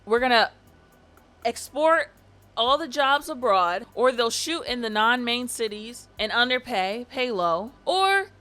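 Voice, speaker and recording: A woman giving casual, sarcastic commentary on a vlog, animated and quick to laugh. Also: on the high side, soft background music. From family from Barbados. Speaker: YouTube commentator.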